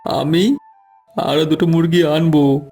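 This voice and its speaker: A man playing an old village farmer, stern but kind underneath, with a creaky voice, for a cartoon moral tale. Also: on the high side, fairly even intonation, measured pace, mellow, faint music behind, lightly acted. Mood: forgiving, earnest. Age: older. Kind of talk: Bengali moral-story cartoon voice acting.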